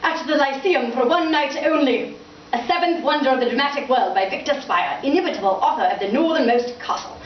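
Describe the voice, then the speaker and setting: A woman's voice: sits high, animated, a little fast, few pauses, far from the mic, pitched above the performer's own voice. A woman voicing a melodramatic, self-absorbed older father, a pompous playwright, in a grandiose and fussy way at a live book reading. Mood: grandly dramatic. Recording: muffled, echoey, noisy room from afar.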